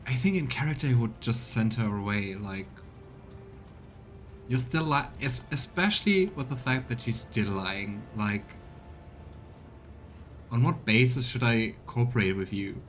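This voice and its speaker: A man's voice: medium pitch, lively intonation, unhurried, long pauses, a bit bright, soft background music. A man giving casual let's play commentary and reading game dialogue aloud, with exasperated, sarcastic asides.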